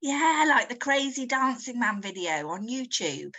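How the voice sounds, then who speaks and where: A woman's voice: fairly high pitch, big pitch swings, a little slow, sharp tone, exaggerated voice. A woman playing a keen young marketing intern in an amateur comic story. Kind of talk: amateur comic audio drama.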